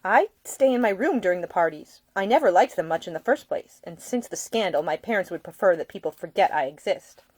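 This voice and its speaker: A woman's voice: on the low side, lively intonation, a little fast, hardly any pauses, a little mellow, no room sound. A woman voicing an outspoken, slightly wounded young Victorian lady in a storybook reading. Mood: guarded. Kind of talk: acted audiobook dialogue.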